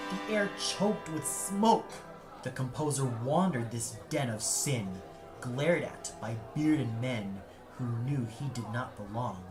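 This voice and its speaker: A male narrator reads a dramatic audio story at a slow, deliberate pace. His delivery is solemn and measured, recorded in a roomy echo with music underneath. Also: mid-range voice. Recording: echoey room, music underneath.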